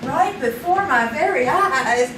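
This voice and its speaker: A woman's voice: high-pitched, expressive, slow pace. A woman playing a playful, motherly housewife in a live old-time radio drama. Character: warm, fussing mother of a teenager. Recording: roomy, distant live stage recording with noise.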